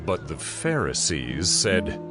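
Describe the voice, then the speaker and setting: Male narrator, low and expressive, solemn scripture storytelling over guitar music. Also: takes it slowly.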